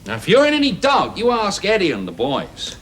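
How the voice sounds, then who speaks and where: An actor playing a self-assured, paternal research boss, coaxing and persuasive in 1970s television drama style. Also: male voice, high-pitched, wildly swooping pitch, brisk, fairly bright tone, exaggerated voice. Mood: teasing, persuasive. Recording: faintly hissy old television soundtrack.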